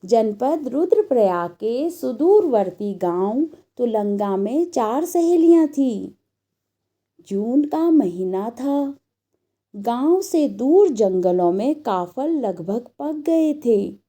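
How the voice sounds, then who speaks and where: Very bright-voiced woman teaching children, high-pitched. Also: sing-song. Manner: deliberate, instructional, addressing children directly. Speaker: teacher telling children a story. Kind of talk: slow scripted storytelling lesson for children.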